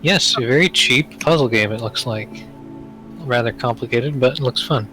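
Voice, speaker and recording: A man's voice: medium pitch, moderate pace, bright, forceful tone, faint music behind. A man co-hosting a casual gaming podcast, making dry, wry remarks. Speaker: podcast co-host.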